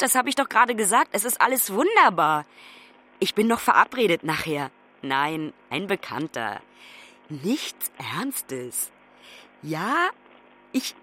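Phoning daughter, radio drama: higher female voice, conversational, halting, emotionally involved with her mother. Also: fairly bright tone, exaggerated voice. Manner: halting, conversational, breaking off mid-sentence. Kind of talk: naturalistic German radio drama acting.

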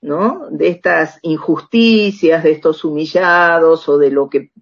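A woman with an Argentine accent in a conversational radio interview, speaking reflectively and haltingly, often checking with a tag question. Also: deep voice, lo-fi sound. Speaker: author interviewed about radio drama.

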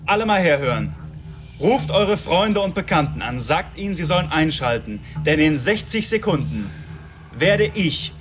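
An actor playing a volatile, taunting killer who performs for television viewers in a radio drama. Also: male voice, fairly high pitch, big pitch swings, normal speed. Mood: manic, threatening. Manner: brash, crude, taunting, addressing a mass audience. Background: music.

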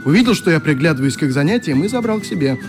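Actor as friendly young man, higher-pitched, reminiscing. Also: very fast, soft background music, natural voice. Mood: animated reminiscing. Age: young adult. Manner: chatty, expressive, acts out remembered exchanges. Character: friendly young youth club leader, lively storyteller, wistful. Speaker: actor in a radio play. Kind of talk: radio drama, reminiscing dialogue.

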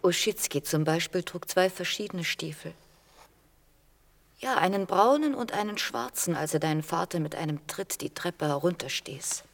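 Old mom in a radio drama, low husky breathy voice, wry, gently corrects stuff. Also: female voice, lively intonation, moderate pace. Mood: insistent. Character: wry elderly mother gently correcting her storytelling son. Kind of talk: radio play acting.

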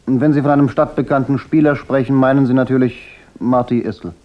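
A man's voice: medium pitch, steady tone, brisk, close to an everyday voice. A man acting a cool, laconic, insolent private detective in a German radio crime drama. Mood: cool, probing. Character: cool, wry, unflappable hard-boiled private detective. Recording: dull radio drama recording.

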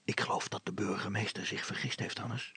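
A man voicing a furtive, grumbling older father in a storybook, hissing in a hushed voice. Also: lowish voice, steady tone, a little fast, slightly rough, dry recording, full character voice. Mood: wary, hushed.

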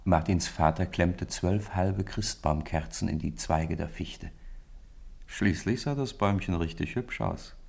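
A man narrating a radio play as a gentle, measured storyteller, with a gravelly, husky voice. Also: low voice, heavily acted. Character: measured, gentle male storyteller of a Christmas tale.

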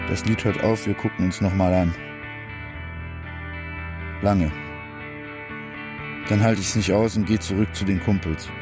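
A rough young working-class guy in a radio drama, his voice on the low side. He tells a tender memory in short, colloquial bursts, with guitar music clearly behind him. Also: fairly even intonation, a little slow, long pauses. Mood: wistful, plain. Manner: colloquial, clipped short sentences. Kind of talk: German radio play monologue.

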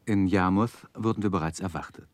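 A man narrating a 1960s radio play as a literary storyteller, measured and expressive. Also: low-pitched, no room sound.

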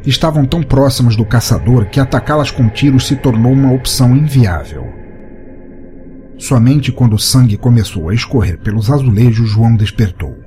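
A man's voice: medium pitch, normal speed, dark tone, slight background noise. A Brazilian man working as a podcast host and narrator, reading scripted stories and listener comments. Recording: music bed under the voice. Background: background music.

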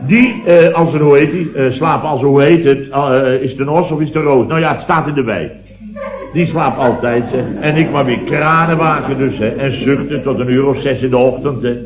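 An actor with a Dutch accent playing a grumbling, boastful building-firm boss who spins indignant, drawn-out yarns in a radio comedy. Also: male voice, mid-range voice, close to an everyday voice. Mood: exasperated. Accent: Dutch, from the Netherlands. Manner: indignant, drawn-out storytelling with repeated tag words. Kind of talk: radio comedy acting, anecdotal monologue. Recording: dull, roomy vintage radio recording.

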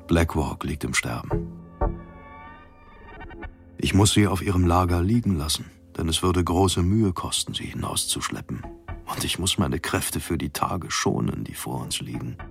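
An actor playing a starving, grimly resolved polar captain in a radio drama, speaking a formal logbook monologue in a gravelly, often breathy voice. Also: male voice, low voice. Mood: grim, resigned. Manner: grave, formal, deliberate diary delivery. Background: music.

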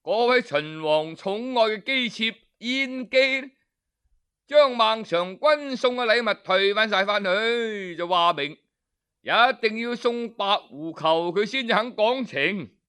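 A man telling a traditional tale as an audiobook storyteller, colloquial and expressive, with rhetorical questions and exclamations. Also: high-pitched, slow, smooth.

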